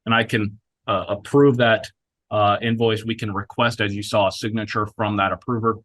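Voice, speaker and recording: A man presenting a software demo in a conversational, businesslike way, explaining as he goes. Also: on the low side, flat delivery, moderate pace, no room sound. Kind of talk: live product demo, explanatory talk.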